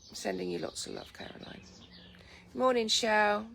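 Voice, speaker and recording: A woman with an English accent chatting casually on a morning livestream from her garden. She has a croaky voice just after waking and rambles in a relaxed way, with many ums. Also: mid-range voice, moderate pace, a little hiss or hum. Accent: English, Lincolnshire. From England. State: just woken, sleepy. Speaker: vlogger greeting live viewers. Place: outdoors in a garden. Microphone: phone camera. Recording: outdoor phone audio with loud birdsong. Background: birdsong and light breeze.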